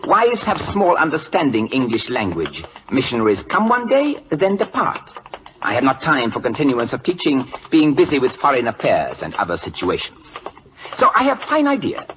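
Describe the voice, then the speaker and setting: A man's voice: medium pitch, huge pitch swings, moderate pace, background noise. An actor playing an imperious, impatient King of Siam in an old radio drama, with a Siamese accent and broken English, barking repeated questions and commands. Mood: pleased, commanding. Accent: put-on Siamese. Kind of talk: 1940s radio drama acting. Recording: dull lo-fi old radio recording.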